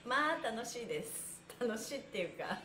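Super upbeat lady doing a livestream stretch class, high voice, echoey room, chatty n encouraging. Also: big pitch swings, normal speed, pushed and bright, slight background noise. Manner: cheerful, encouraging. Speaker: stretch class instructor and host. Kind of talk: livestream exercise lesson, counting with chanted phrases.

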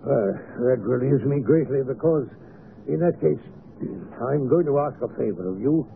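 An actor playing a possessive, volatile gentleman in a radio mystery, speaking formally and emphatically with a creaky voice. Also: mid-range voice, animated, normal speed. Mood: formal, relieved. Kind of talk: 1970s radio mystery acting. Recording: tinny, noisy old radio broadcast.

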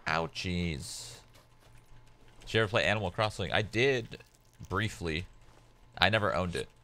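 A man's voice: low-pitched, unhurried, pushed and bright, slight background noise. A young man whose first language is Polish, chatting casually as a game streamer at home, laid-back and rambling with lots of "like" fillers. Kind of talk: casual chat while gaming.

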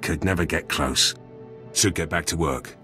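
An actor playing a blunt, battle-hungry special forces soldier in a video game, gruff and husky, speaking in clipped, terse sentences. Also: male voice, very deep voice, a little slow, bright, forceful tone, heavily acted. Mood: proud, businesslike. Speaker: soldier companion character. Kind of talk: video game dialogue acting. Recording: music under the voice.

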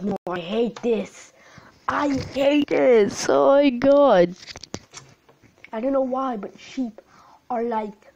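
A young woman with a tired voice commenting on a game she is playing late at night at home, gasping and jumping between alarm and hushed whispers. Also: a little high, highs cut off. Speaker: gaming channel host. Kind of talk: live gameplay commentary.

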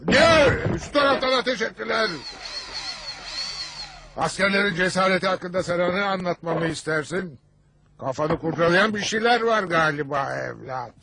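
A man's voice: high-pitched, lively intonation, normal speed, creaky, background noise. A man playing a grumpy, bossy middle-aged father in a dubbed cartoon, blustering and sarcastic with theatrical exaggeration. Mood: boastful.

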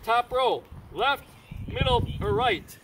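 A man's voice: very high-pitched, expressive, slow. A man in his fifties from Chicago, doing a card trick on a residential street and chatting with the onlookers he quizzes as he counts cards aloud. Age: 50s. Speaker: street magician and preacher. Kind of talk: outdoor card trick demonstration with banter. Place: residential street outdoors, kneeling on the sidewalk. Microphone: camera mic from a few meters away. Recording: distant camera mic, loud street noise.

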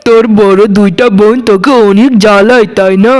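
A kindly cartoon village father, put on by a voice performer, with a very smooth, clear voice. He talks affectionately and coaxingly, as a doting parent does. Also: mid-range voice, fairly even intonation, brisk, darkish tone, voice pushed down, close to an everyday voice. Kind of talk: cartoon voice acting in a family folktale.

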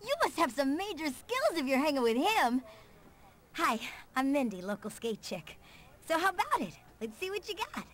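A woman's voice: high-pitched, sing-song, moderate pace, fairly bright tone. A young woman with an American accent playing an upbeat skater girl and comic artist in a video game cutscene, perky and slangy. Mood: excited, starstruck.

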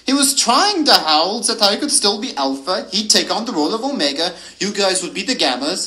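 Guy putting on a bossy lady werewolf, snarly and commanding, way up high, lively story voice. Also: fairly quick, exaggerated voice. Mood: firm. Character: bossy female werewolf, assertive pack-mate who snaps orders.

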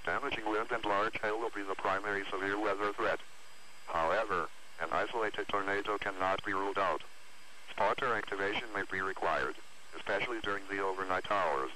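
Synthetic male announcer, low and forceful, scripted weather alerts, radio broadcast. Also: a little fast, slight background noise, rough recording. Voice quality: synthetic text-to-speech voice. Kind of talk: scripted hazardous weather bulletin.

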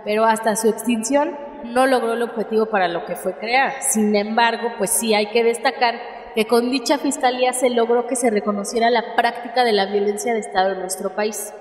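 A woman's voice: a little high, steady tone, a little fast, close to an everyday voice. Woman acting an earnest activist in an echoey hall. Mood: earnest, explanatory. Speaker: actor in a radio serial. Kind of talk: radio drama acting.

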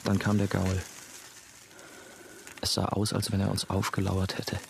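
A man's voice: deep voice, completely flat delivery, unhurried, gravelly, very noisy, heavily acted. An actor playing a brooding former novice who narrates his story in a reflective radio drama style. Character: brooding male ex-novice, nursing-home worker, telling his story. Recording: music behind the voice.

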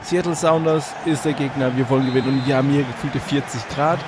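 A man with a slight cold hosting let's play commentary on a football video game from a hot room at home, getting excitable and shouting at goals. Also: mid-range voice, fast. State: a bit congested with a cold. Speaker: gaming channel host. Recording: audible fan noise.

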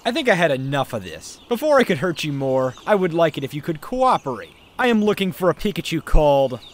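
A man playing a ruthless, menacing fox-like monster villain in a comic dub, given to shouting. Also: a little high, sing-song, brisk, background noise. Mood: angry, threatening.

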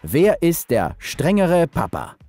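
Slow, lively man reading quiz questions. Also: fairly high pitch, soft background music. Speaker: quiz host off camera.

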